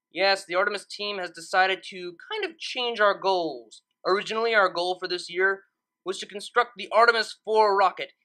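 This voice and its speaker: A woman's voice: fairly low pitch, sharp tone. A woman hosting and reading stories, speaking casually and emphatically with enthusiasm. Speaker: channel host and story reader. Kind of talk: casual vlog-style update and story narration.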